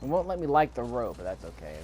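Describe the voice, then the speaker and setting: Male gamer, mid-pitched, casual running commentary, thinks out loud, faint game ambience behind. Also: sing-song, quick pace, a little hiss or hum. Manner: musing aloud, repeats phrases. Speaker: gaming video host. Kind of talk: casual, off-the-cuff gameplay commentary. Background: faint video game ambience.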